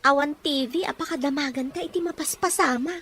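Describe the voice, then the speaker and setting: Actress as a high-pitched grumbling woman. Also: brisk, a bit bright, a little hiss or hum. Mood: complaining. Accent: Ilocano. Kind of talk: Ilocano radio drama acting.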